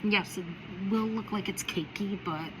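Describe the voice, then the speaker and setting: A woman's voice: mid-range voice, measured pace. A woman talking casually through a budget makeup tutorial, chatty and rambling with repeated warnings. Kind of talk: casual how-to chat. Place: home, indoors by a window. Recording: loud vehicle noise behind.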